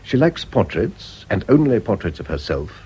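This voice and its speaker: An actor playing a wealthy, urbane English gentleman aesthete with a British accent, drily witty and teasing in radio drama style. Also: fairly low pitch, sing-song, normal speed, husky, lo-fi sound, heavily acted. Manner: suave, drily witty, flamboyant.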